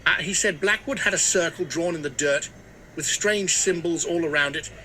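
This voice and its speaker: A man acts out a superstitious old village historian in an audio mystery drama. The voice is sharp, forceful and a little high, with hesitant, folksy storytelling over faint background noise. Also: moderate pace, low-quality recording. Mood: uneasy, secretive. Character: superstitious old male small-town local historian. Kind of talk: scripted mystery drama interview.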